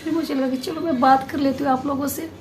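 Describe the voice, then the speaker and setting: A woman vlogger chatting casually to viewers, her voice hoarse and nearly gone, pushing hard to get the words out. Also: high-pitched, fairly even intonation, fast talker, darkish tone, slight background noise. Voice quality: strained, forced voice.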